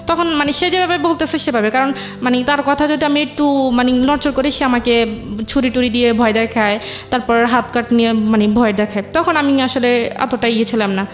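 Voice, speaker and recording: A young Bangladeshi woman speaks in a fairly high voice, telling a personal story as an interview guest. She goes along haltingly, repeating phrases as she recounts things. Music plays behind her. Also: steady tone.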